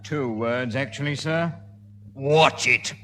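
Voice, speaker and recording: A man's voice: medium pitch, takes it slowly, over music, natural voice. Man playing a stern, officious police sergeant. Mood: stern, accusing. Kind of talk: 1970 British radio sitcom acting. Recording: reverberant old radio recording.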